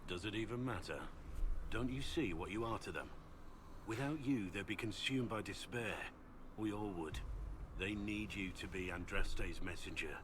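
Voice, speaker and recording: Man playing a deep-voiced, grim warrior. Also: normal speed, natural voice. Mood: urgent. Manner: solemn, intense. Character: grim, world-weary male Grey Warden warrior, devoted soldier. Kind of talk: dramatic fantasy video game acting. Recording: game audio with clearly audible background noise.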